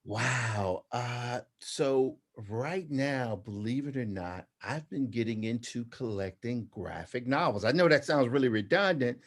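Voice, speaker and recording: Earnest middle-aged man, mid-pitched voice. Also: lively intonation, a little slow, hardly any pauses. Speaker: interview guest, graphic novelist and artist. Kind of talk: conversational interview answers.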